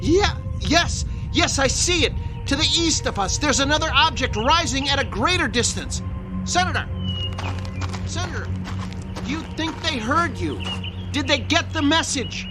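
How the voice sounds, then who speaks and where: An actor playing a field news reporter in a radio drama, giving live, urgent commentary. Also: male voice, very high-pitched, lively intonation, a little slow, music in the background. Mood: amazed. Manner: breathless live commentary, broken phrases.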